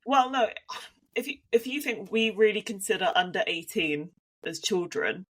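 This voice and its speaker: A woman's voice: medium pitch, lively intonation, moderate pace, a bit bright, a little hiss or hum. A woman from Devon with a Devon accent, co-hosting a casual podcast and thinking aloud with frequent restarts. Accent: Devon English. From Devon, England. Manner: thoughtful, hedging with many restarts. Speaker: podcast co-host.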